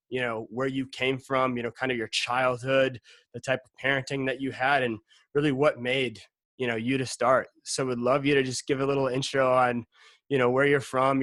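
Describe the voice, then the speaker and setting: A man's voice: medium pitch, fairly bright tone, dry recording. A man hosting an interview in a casual, conversational and informal way, talking around his questions wordily. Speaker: interview host.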